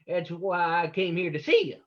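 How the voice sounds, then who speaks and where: A man's voice: sits high, big pitch swings, moderate pace, lo-fi sound. A man playing a terse, tough undercover investigator in an old-time radio drama. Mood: guarded, terse. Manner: terse, hard-boiled. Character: tough undercover man posing as a crook's partner.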